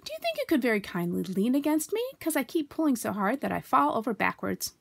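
Young boy for a storybook, woman performer: breezy, self-assured, affectionately bossy, animated, raised pitch. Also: fast, gravelly, full character voice. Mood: breezy, instructing. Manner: breezy, explaining patiently.

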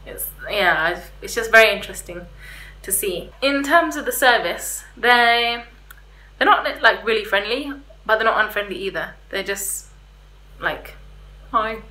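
Young female vlogger, casual and a little hesitant, bright tone, recorded in an echoey room. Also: mid-range voice, animated, a little slow. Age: young adult. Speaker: vlogger reviewing restaurants. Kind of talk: casual chat to camera. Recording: roomy echo, faint background hiss.

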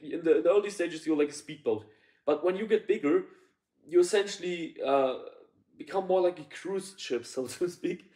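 A man's voice: on the high side, dark tone. A young man in his twenties giving casual business advice to camera from a sofa, blunt, swearing, full of fillers and restarts. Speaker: business consultant. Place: living room, on a sofa indoors. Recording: echoey room.

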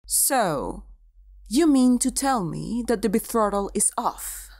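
Lady doing a stern queenly mom, slow n formal, dry close sound, audio drama. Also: a little high, animated, creaky, darkish tone, no room sound, soft background music, full character voice. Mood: displeased. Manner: stately, formal. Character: formal, stern royal mother. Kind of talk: fairy-tale audio drama acting.

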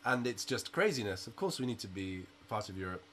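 A man's voice: lowish voice, sing-song, a little fast, a bit bright, slight background noise. A man in his thirties from Britain, a musician guest on a video-call interview, talking in an earnest, outspoken way from a small attic room. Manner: earnest and outspoken, with you-knows. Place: small home room under a sloped roof. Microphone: laptop webcam on a video call.

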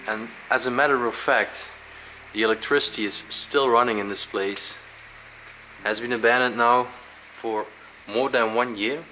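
A young man casually exploring a dark abandoned aquarium, curious and describing what he finds, with short laughs. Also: mid-range voice, steady tone, moderate pace, slight background noise, low-quality recording. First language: Dutch. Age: young adult. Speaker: urban explorer.

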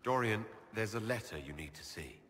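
A man voicing a level-headed, dryly teasing elf leader in a video game, with a husky voice and measured delivery. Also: fairly low pitch, expressive, a bit bright, slight background noise. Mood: calm, dry. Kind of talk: video game dialogue acting.

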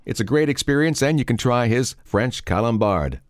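A man hosting a wine podcast, reading a scripted segment in a smooth, even delivery. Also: mid-range voice, normal speed, no room sound, clean studio sound. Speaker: podcast host. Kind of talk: scripted narration.

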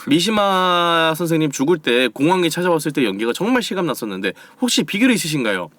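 A man's voice: fairly high pitch, fast, no echo. A young man hosting a casual chat, joking and reading out viewer questions, still getting over a cold. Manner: lively, joking, reads viewer questions aloud. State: recovering from a cold. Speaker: host.

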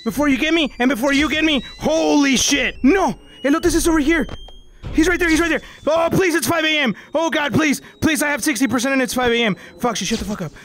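A young man playing a VR horror game, panicking and yelling, switching between English and Spanish, and losing his voice. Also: very high voice, brisk, slight background noise. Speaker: VR gaming YouTuber. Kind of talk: loud, frantic gameplay commentary. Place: indoor room, in front of a green screen.

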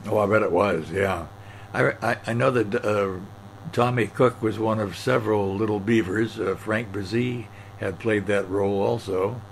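A man's voice: fairly low pitch, fairly even intonation, a little slow, gravelly, slight background noise. A man conducting an oral history interview, asking attentive follow-up questions.

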